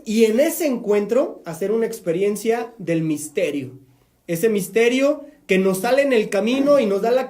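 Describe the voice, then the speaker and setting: A Mexican priest hosts a live-streamed faith program, talking at length in a chatty, preaching way with joking asides. His voice is mellow and dark. The sound bounces around an echoey room. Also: very high voice. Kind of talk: live-streamed religious talk show.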